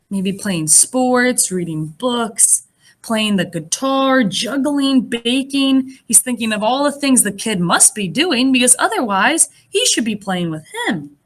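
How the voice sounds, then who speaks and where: A woman reading a picture book aloud as a teacher would to young children, expressive and pausing to explain things in simple words. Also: a little high. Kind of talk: animated children's read-aloud with asides.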